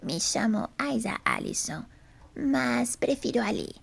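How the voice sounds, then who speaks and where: A woman playing a sweet, frail, apologetic old lady of about seventy with a hoarse voice in an audio drama. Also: fairly high pitch, huge pitch swings, unhurried, full character voice. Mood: sweet, welcoming. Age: older. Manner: sweet, gentle.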